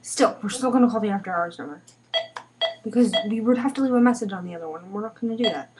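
A teenage girl chats casually into a webcam in a room at home. Her pitch is average for a young woman. Loud noise and clicking run through the recording. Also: quick pace. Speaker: vlogger making prank calls. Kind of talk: casual chat. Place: small room indoors at home. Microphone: webcam microphone at arm's length. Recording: noisy webcam microphone, clicks.

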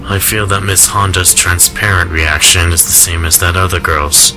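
A very low-voiced, melancholy young doctor played by a man, measured and formal. He speaks with restraint, as in a radio drama, with music clearly playing underneath. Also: fairly even intonation, close to an everyday voice, poor audio. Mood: brooding, introspective.

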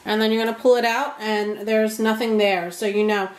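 A woman's voice: on the high side, steady tone, normal speed. A woman in her thirties giving a friendly step-by-step cooking demonstration in her home kitchen. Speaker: home cook.

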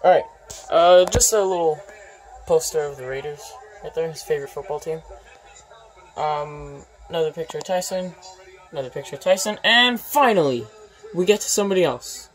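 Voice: medium pitch, low-quality recording. Casual off-screen tour guide with many ums. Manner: unhurried, lists items, many ums and uhs. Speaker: vlogger giving a home tour. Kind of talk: casual walkthrough narration. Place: indoor room full of posters and memorabilia.

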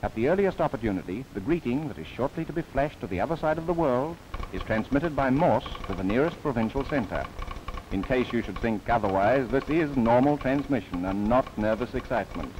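A man's voice: mid-range voice, lively intonation, brisk, a little mellow, rough recording. A man narrating a 1930s government documentary film, with playful asides. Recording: old 1939 film soundtrack with faint hiss.

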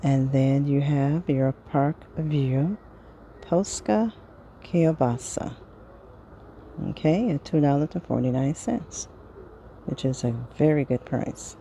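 A woman casually reading through a sales flyer item by item, pausing between phrases in an unhurried way. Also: low voice, expressive, dark tone, slight background noise. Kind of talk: casual product read-through.

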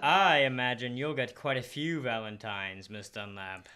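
A young man acting a shy, lonely bachelor store clerk in an old-time radio comedy, halting and bashful. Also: mid-range voice, pushed and bright, a little hiss or hum, lightly acted. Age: young adult. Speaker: high school student actor. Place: school library room, live staged radio show. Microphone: shared stand microphone.

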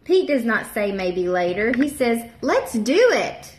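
A woman in her thirties, a teacher, reading a picture book aloud to young children and doing bright voices for the characters. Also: on the high side, big pitch swings, a little slow, slight background noise. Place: home room, seated at a table with a child. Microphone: camera at table distance. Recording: echoey room.

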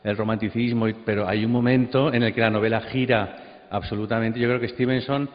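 A man's voice: mid-range voice, steady tone, brisk. A man in a panel audience, offering spontaneous conversational commentary on literature. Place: large auditorium during a panel. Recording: muffled, echoing auditorium sound.

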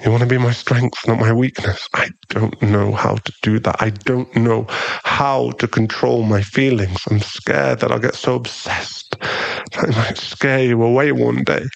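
A man's voice: mid-range voice, steady tone, a little slow, creaky, dry recording, low-quality recording. A man playing a brooding, protective mafia boss in love, in an intimate ASMR boyfriend roleplay. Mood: vulnerable, confessing.

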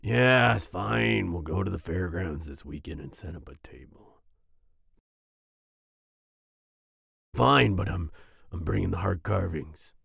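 Very gravelly, grumpy werewolf boyfriend. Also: male voice, low voice, lively intonation, a bit bright, no room sound, clean studio sound, heavily acted. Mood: resigned, fond. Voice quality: gravelly, growling, husky. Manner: grumbling, slow, with grunts and growls. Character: big grumpy werewolf boyfriend, gruff but secretly soft. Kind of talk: ASMR boyfriend roleplay.

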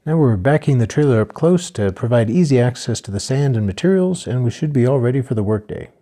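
Older male homesteader, relaxed voice-over, warm low-key tone. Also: a little fast. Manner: plain, steady, matter-of-fact explaining. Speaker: homesteader narrating a build video.

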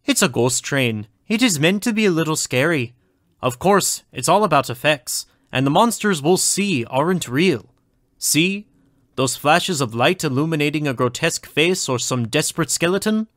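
A man's voice: on the high side, lively intonation, moderate pace, fairly bright tone, no echo, studio quality. A man playing a formal, precise male scientist, easily bewildered, in an audio drama. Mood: calm, reassuring.

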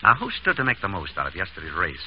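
Wry, lively-voiced gentleman sleuth, old radio. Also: low-pitched, quick pace. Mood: assured, explaining. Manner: suave, dry, polite questioning. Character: urbane, wry doctor turned amateur sleuth. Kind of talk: 1930s radio drama acting. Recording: scratchy, dull old radio broadcast.

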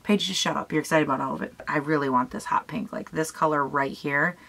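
Raspy-voiced young woman gushing over makeup. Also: deep voice, fairly even intonation, quick pace, fairly bright tone. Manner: gushing and chatty, talks to herself. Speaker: beauty vlogger. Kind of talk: casual makeup tutorial chat. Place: small room at home, indoors.

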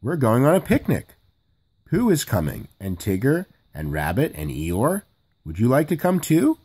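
A man voicing a small, eager young kangaroo in a storybook, with an excited, childlike manner. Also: medium pitch, normal speed, husky.

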